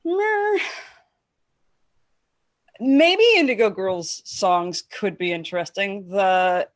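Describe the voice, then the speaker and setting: A woman's voice: medium pitch, expressive, takes it slowly, no echo, studio quality. A woman co-hosting a podcast in casual chat, with a scratchy throat, blunt and swearing freely, laughing often. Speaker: podcast co-host.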